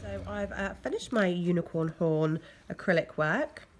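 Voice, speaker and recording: A woman with an English accent, hoarse from a cold, chatting casually as she talks through a nail art tutorial. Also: fairly low pitch, animated, measured pace, a little hiss or hum. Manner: chatty, loose, lots of fillers. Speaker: nail technician. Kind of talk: step-by-step tutorial narration.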